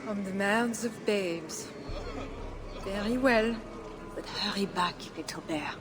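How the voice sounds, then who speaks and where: An actress playing a composed, compassionate medieval Frankish queen in a video game, speaking in a measured, formal, pious way. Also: medium pitch, a crowd in the background, background noise, natural voice. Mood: softened, trusting. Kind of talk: dramatic video game acting.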